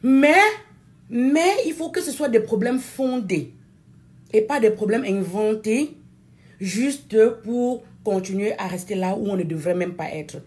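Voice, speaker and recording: A woman from Cameroon, a native French speaker with a Cameroonian accent, giving an impassioned political address in an emphatic, deliberate way, repeating phrases for stress. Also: medium pitch, expressive, mellow. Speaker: political activist addressing viewers.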